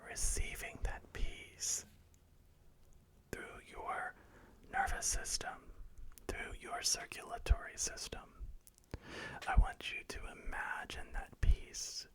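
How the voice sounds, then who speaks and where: Man whispering a slow guided meditation. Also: extremely high pitch, big pitch swings. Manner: slow, soft, repetitive reassurance.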